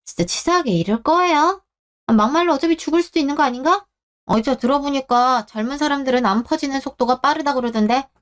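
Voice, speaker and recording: A woman's voice: high-pitched, fast talker, voice pushed up, close to an everyday voice. A woman voicing a selfish young bride-to-be in a radio drama, petulant and entitled, with a whining, pleading manner. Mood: callous. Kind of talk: Korean radio-drama story acting.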